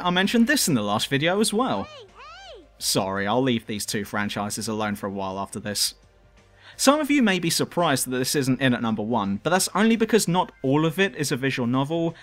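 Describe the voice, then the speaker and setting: Lively man narrating a scripted review. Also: medium pitch.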